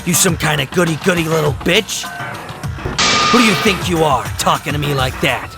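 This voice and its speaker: A hot-headed young male delinquent acted by a man with a raised, gravelly voice. He is aggressive and confrontational in anime fandub style, with prominent music behind him. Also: on the high side, moderate pace. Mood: furious. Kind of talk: anime game fandub acting.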